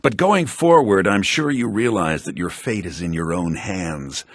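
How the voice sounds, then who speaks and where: An actor playing an excitable, fussy male townsman and schemer in a fantasy video game, with a theatrical manner. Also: a little slow, dry recording, studio quality, heavily acted. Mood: fussy, weary. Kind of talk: video game character dialogue. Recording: low-bitrate game audio.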